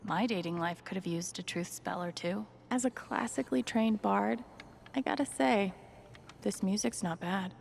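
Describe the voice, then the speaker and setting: A woman's voice: medium pitch, moderate pace, vocal fry, lightly acted. An actress playing a wry, curious young woman roleplaying as a bard in a video game, talking conversationally. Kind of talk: video game acting, inner monologue.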